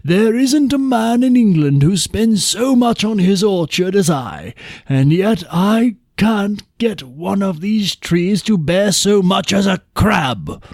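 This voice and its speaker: A man performs a blustery old storybook king full of grief. The voice is pushed high and stretches words out slowly in theatrical complaint, in a dry, close recording. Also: lively intonation, a little mellow, dry recording, heavily acted. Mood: downcast lament. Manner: plaintive, theatrical, drawn-out complaining. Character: grieving, blustery old king with failing eyes. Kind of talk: folk tale character voice.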